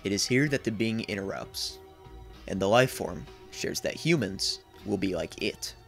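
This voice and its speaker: A man's voice: fairly low pitch, expressive, measured pace, creaky, a bit bright, soft background music. A man in his twenties giving a scripted narration as a video essayist. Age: 20s. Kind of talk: scripted narration, horror series recap. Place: small indoor room.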